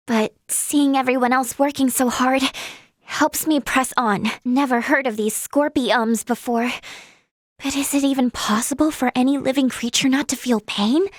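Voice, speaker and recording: A woman's voice: high-pitched, dry recording, studio quality. A woman playing a sweet, timid, earnest teenage girl healer in a video game, with a light, girlish voice and a polite, hesitant way of talking. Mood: earnest, resolute. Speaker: voice actress. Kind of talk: video game character voice lines.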